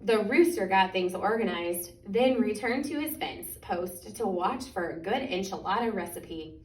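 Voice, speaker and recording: A woman reads a picture book aloud for children in an expressive, deliberate way, stretching out key words. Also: mid-range voice, a little hiss or hum. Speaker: children's story reader. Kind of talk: animated picture-book storytime reading. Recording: echoey room, distant microphone.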